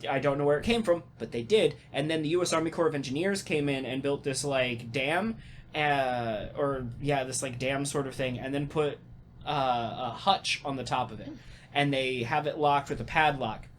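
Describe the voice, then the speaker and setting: A man chatting casually as a podcast host, telling stories in an animated, halting way with casual swearing. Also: mid-range voice, a little hiss or hum. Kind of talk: casual podcast chat and storytelling.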